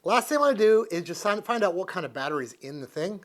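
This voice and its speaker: A man in his fifties giving a casual hands-on gadget review, plain-spoken and thinking out loud as he goes. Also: high-pitched, big pitch swings, fast talker. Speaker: product reviewer, vlogger. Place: living room and outdoors in a backyard by a canal. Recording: clear background noise, ambient sound.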